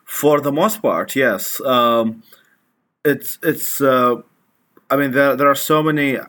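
Bright-voiced man in his twenties. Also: mid-range voice. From Iraq. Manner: halting, with many restarts and fillers. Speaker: guest, activist. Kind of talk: conversational interview.

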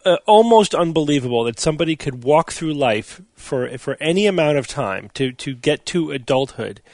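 Male host, gravelly voice, thoughtful, dry recording. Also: fairly high pitch, animated, normal speed, darkish tone, dry recording. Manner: steering the talk, thoughtful. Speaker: podcast host. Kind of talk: casual chat.

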